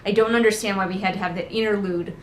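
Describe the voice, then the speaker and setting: A woman's voice: mid-range voice, steady tone, fast talker, reverberant. Woman ranting about a book, sarcastic and sweary, chatty host style, bit of noise behind her. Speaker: book critic and host of a readalong. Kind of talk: casual comedic book commentary.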